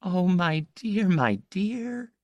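A man voicing an anxious, motherly older woman who comforts tenderly in a murmuring falsetto, for an audiobook. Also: lively intonation, extremely slow, no room sound, dull audio, exaggerated voice. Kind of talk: audiobook character voice.

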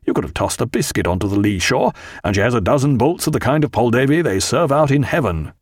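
A man's voice: mid-range voice, expressive, fast talker, breathy edge, dry recording, studio quality. A man as a bluff, hearty sea captain. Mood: hearty, proud. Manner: nautical, hearty turns of phrase.

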